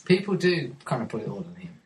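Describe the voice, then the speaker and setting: A man's voice: medium pitch, very animated, fast, background noise. A man co-hosting a comedy podcast, rambling and restarting his sentences. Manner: rambling, hesitant, many restarts. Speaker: podcast co-host. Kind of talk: casual comedy chat.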